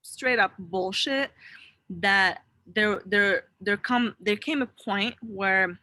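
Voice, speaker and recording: A young adult woman from Morocco speaks as an interview guest, with a bright, clear tone. She talks in an earnest, rambling way, restarting her sentences and filling the gaps as she goes. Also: medium pitch, takes it slowly, no room sound. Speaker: interview guest, wellness founder. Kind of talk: casual interview talk. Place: online video interview.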